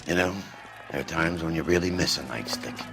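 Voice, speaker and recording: A man playing a gruff, cynical veteran police detective in a video game, terse and streetwise. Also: extremely low pitch, a little fast, over music. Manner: terse, streetwise, world-weary. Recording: clear outdoor street and vehicle noise.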